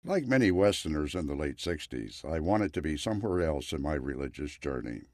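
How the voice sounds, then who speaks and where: A man narrating a scripted story in a measured, wry way. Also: very deep voice, big pitch swings, darkish tone, no echo, studio quality.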